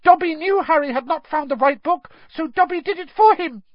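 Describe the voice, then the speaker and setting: Squeaky little male house-elf, shrill high pitch, earnest, urgent, servile, storybook character, slightly muffled recording. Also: fairly quick, dry recording. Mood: eager. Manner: speaks of himself in third person, deferential, broken grammar. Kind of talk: audiobook character voice.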